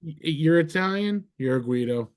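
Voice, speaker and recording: Blunt New guy, slightly high voice. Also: animated, moderate pace. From northern New Jersey. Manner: blunt, profane, wisecracking. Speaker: podcast guest. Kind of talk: casual podcast chat.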